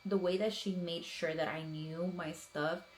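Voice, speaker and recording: A woman's voice: lowish voice, fairly even intonation, unhurried, darkish tone, slight background noise, low-quality recording. A woman in her thirties giving earnest, encouraging advice in a casual vlog, talking in loose runs with many fillers. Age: 30s. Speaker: police officer vlogger.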